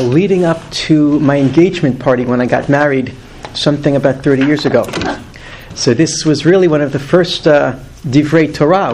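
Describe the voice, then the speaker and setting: A man's voice: fairly high pitch, darkish tone, slight background noise. A man in his fifties teaching a weekly Torah class to a group, earnest and emphatic, lifting his voice on key words. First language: not English.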